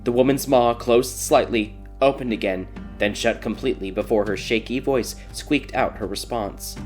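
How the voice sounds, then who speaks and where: Guy doing dramatic fairy tale audiobook narration, medium pitch, faint music under him. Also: moderate pace, darkish tone. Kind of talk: scripted dramatic story narration.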